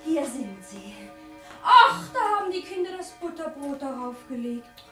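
An actress playing a quick-witted, pert young daughter, glib and coyly evasive, in theatrical radio comedy style. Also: female voice, high-pitched, animated, bright, forceful tone, faint music behind. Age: young adult.

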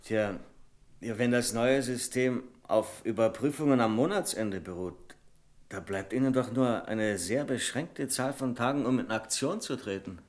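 Actor as a fussy, wary senior clerk. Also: male voice, medium pitch, a little slow, darkish tone, natural voice. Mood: sceptical. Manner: formal, sceptical, pointed. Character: fussy, wary male senior bank clerk, easily exasperated. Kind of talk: radio play acting.

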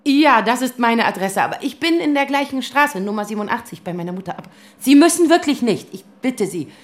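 An actress playing a flustered, easily agitated woman in her thirties in an audio drama, speaking haltingly and naturally. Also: a little high, lively intonation, lightly acted. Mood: apologetic, flustered. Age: 30s. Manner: halting, stammering, nervous laughs, quick to flare up. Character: flustered, agitated 35-year-old woman lawyer, daughter of Iranian exiles.

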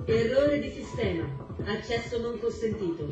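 A woman's voice: fairly high pitch, expressive, moderate pace, close to an everyday voice. Woman as cold computer voice, dull and echoey. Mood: cold, flat. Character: cold female computer system voice denying access. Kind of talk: automated announcement in a stage drama. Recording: dull echoey playback over music.

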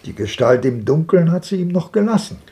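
An actor playing a musing, mildly wry old man in a 1950s radio drama, speaking slowly. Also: on the high side, sing-song, warm tone. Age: older.

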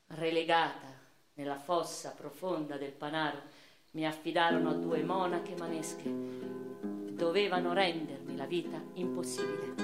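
An actress playing a passionate, anguished noblewoman turned revolutionary, recalling her past in a theatrical radio monologue that moves between hushed and impassioned. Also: sits low. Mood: low, resigned. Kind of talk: dramatic first-person radio theatre narration. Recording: roomy echo with music underneath.

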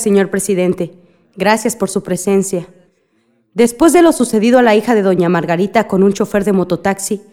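Regidora formal actuada por una mujer, voz suave. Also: female voice, medium pitch, warm tone, lightly acted. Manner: formal, measured public address. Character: woman health councillor, formal and civic-minded. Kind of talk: radio drama acting.

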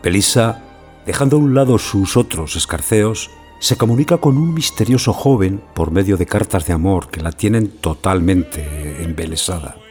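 Guy narrating a play intro, low voice, scripted literary commentary, bit of music behind. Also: lively intonation, normal speed, darkish tone. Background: faint music.

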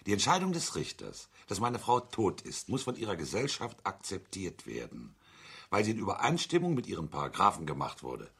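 An actor in a radio drama playing a shrewd, insistent widower who argues in an articulate, legalistic, pointed way. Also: male voice, sits low, huge pitch swings, brisk, gravelly, fairly bright tone, husky, heavily acted. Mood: argumentative, precise. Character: shrewd, insistent widower pressing a dubious insurance claim. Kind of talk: German radio drama acting.

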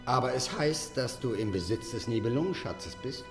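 An actor playing a cunning, covetous court schemer in a fantasy radio drama, speaking in an insinuating, probing way. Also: male voice, mid-range voice, animated, moderate pace, music in the background, close to an everyday voice. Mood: greedy probing.

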